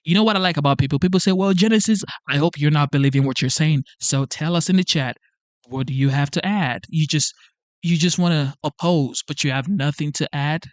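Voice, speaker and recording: A man's voice: on the high side, a little fast, dry recording. A man hosting a motivational livestream, talking to camera with emphatic, repetitive urging. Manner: emphatic, repetitive, preachy pep talk. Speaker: channel host. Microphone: facing a camera.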